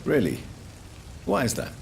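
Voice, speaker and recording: An actor playing a courteous, brooding gentleman doctor in a video game, speaking formally with a gravelly voice. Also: mid-range voice, wildly swooping pitch, recorded from a distance, a little hiss or hum, full character voice. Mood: courteous, insistent. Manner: formal, polite, measured questioning. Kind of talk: video game dialogue acting.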